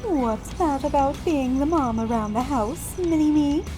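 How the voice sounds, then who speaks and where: A woman playing a brisk, caring middle-aged mother, practical and fussing, in a cartoon fan drama. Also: sits high. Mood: brisk, fond. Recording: music under the voice.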